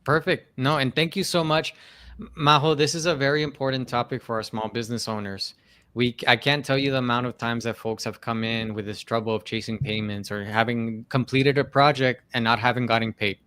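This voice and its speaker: A man's voice: medium pitch, steady tone, a little fast, pushed and bright, smooth. A man hosting a business podcast, reading a formal, polite introduction and then interviewing his guest. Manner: formal, polite, reads from notes. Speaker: podcast host.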